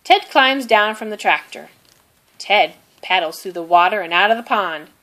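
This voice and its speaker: A woman narrating a children's storybook aloud in an expressive, deliberate way. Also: mid-range voice, low-quality recording. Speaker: storybook reader.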